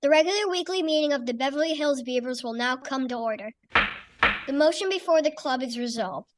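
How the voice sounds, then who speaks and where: A woman's voice: high voice, fairly quick, close to an everyday voice. A woman playing a bossy, self-important young boy who runs a kids' club in a radio comedy recreation. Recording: distant, noisy recording.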